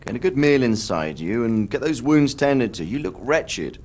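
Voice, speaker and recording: A man's voice: mid-range voice, big pitch swings, normal speed, a little mellow, faint music behind. A man acting an authoritative yet caring noble father in a medieval video game. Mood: concerned.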